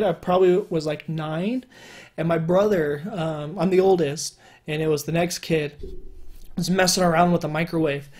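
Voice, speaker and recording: A young man with a fairly high voice, often mistaken for a woman, chatting casually and rambling to camera at a desk at home. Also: a little mellow. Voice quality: higher-pitched male voice, sometimes mistaken for a woman. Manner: chatty, rambling, many fillers and restarts. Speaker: YouTuber. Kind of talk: casual solo commentary. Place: indoor room at home.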